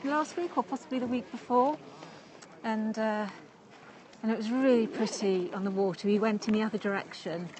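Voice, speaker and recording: English woman, fairly high voice, chatty lakeside walk, phone mic, faint outdoor noise. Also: steady tone, moderate pace. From England. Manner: chatty, with frequent fillers and laughs. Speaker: co-host of a live walking stream. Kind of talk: casual chat while walking outdoors.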